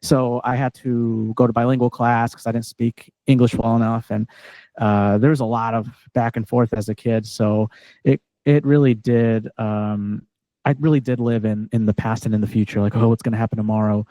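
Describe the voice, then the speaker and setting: A man's voice: mid-range voice, level tone, normal speed, dark tone, no room sound, low-quality recording. A man whose first language is Spanish, hosting a casual podcast conversation in a reflective, searching way with frequent restarts. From California, partly raised in Mexico. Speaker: podcast host.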